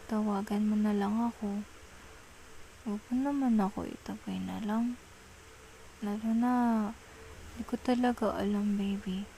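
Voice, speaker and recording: Soft, mellow Filipino girlfriend, acted by a woman. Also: mid-range voice, gravelly, slight background noise, rough recording. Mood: apologetic, tender. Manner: soft, hesitant, coaxing. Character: apologetic young girlfriend, tender, pleading, soothing her jealous partner. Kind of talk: intimate ASMR girlfriend roleplay.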